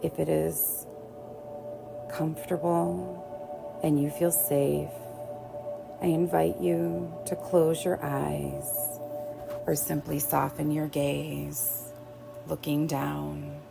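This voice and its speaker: A woman teaching yoga nidra, guiding relaxation in a gentle, soothing way with long pauses. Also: low voice, flat delivery, creaky. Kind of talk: guided relaxation meditation. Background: soft background music.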